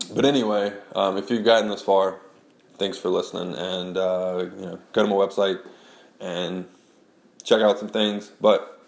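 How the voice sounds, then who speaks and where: Blogger, male, deep and gravelly, nasal head-cold congestion, loose rambling delivery in a roomy space. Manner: rambling, many restarts and "like"s. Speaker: blogger and memoir writer. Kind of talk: casual spoken audio blog.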